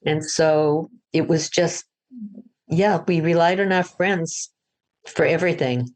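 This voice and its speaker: A woman's voice: low voice, steady tone, slow, a little mellow, rough recording. An older woman from Boston, an author, chatting through her interview answers in a storytelling way, with frequent restarts. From Boston, USA.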